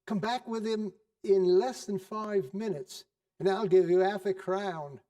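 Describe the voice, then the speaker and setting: A man voicing an overjoyed, reformed old miser in a theatrical storybook reading, eager, exclamatory and halting with glee. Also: high voice, dark tone, voice pushed up. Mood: excited. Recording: distant microphone.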